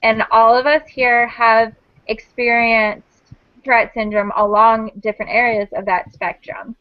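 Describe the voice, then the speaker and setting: A woman in her twenties hosting a casual group chat, explaining things in a measured way with small restarts. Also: fairly high pitch, level tone. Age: 20s. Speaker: host of a panel discussion. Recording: dull, dry lo-fi sound.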